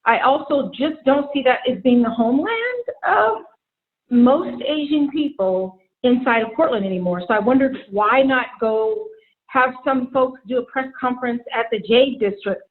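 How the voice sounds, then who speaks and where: A woman from Seattle joining a talk panel remotely, her voice coming through thin and tinny as over a phone. She speaks earnestly and without holding back, her pitch sitting a little high. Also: animated, moderate pace. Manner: earnest, outspoken. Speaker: panel guest. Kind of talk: public affairs panel talk.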